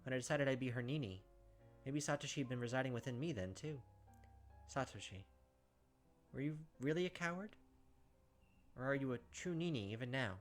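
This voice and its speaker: Casting: male gameplay host with a mid-range voice, reads story narration and chats casually over soft game music. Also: mid-range voice, lively intonation, a little fast, pauses often. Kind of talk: reading visual novel narration aloud, casual commentary.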